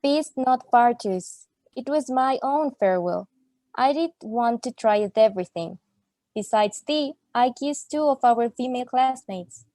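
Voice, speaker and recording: A woman's voice: on the high side, expressive, unhurried, dark tone, dry recording. A woman playing an exasperated young student in a radio play, declaiming in mock Shakespearean English. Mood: defiant. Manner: declamatory, archaic phrasing.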